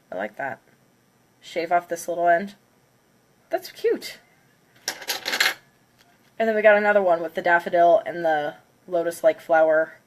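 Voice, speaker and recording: A congested woman chatting casually on a livestream, counting down before smashing plates. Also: fairly low pitch. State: congested from a cold. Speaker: craft livestreamer.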